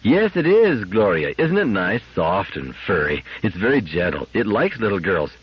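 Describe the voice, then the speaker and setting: A man's voice: on the low side, sing-song, normal speed, breathy edge, full character voice. A man voicing an anxious, insistent wife and mother in an audiobook story. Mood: coaxing, gentle. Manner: insistent, argumentative. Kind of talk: audiobook character acting. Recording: dull lo-fi radio-like audio.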